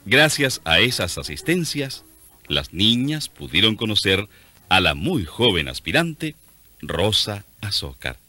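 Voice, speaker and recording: A man narrates a radio drama in a lowish, highly animated voice. His tone is very bright and forceful, with music behind him. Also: unhurried, no room sound.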